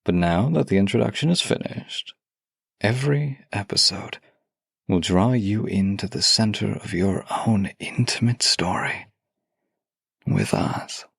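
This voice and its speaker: A man co-hosting a podcast in an intimate, measured, soft-spoken style, like a voice actor presenting written material. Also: on the low side, animated, gravelly, slightly rough, dry recording, clean studio sound. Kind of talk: intimate podcast talk with a read-aloud letter.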